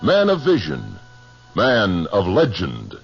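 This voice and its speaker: Grand American announcer for a 1950s radio western: hugely swooping intonation, lowish pitch, solemn and theatrical, dull vintage sound. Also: male voice, huge pitch swings, takes it slowly, creaky, husky, faint music behind, full character voice. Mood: grand, dramatic.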